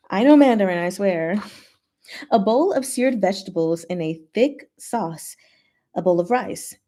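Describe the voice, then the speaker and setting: A woman's voice: mid-range voice, warm tone. A woman hosting a casual livestream, playful and informal, chatting and reading stories aloud. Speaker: host of a livestream.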